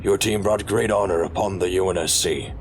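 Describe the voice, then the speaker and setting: Deep, fatherly officer played by a man. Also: fairly even intonation, brisk, slight background noise, close to an everyday voice. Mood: consoling. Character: fatherly male senior officer, solemn and kind. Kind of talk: audio drama acting.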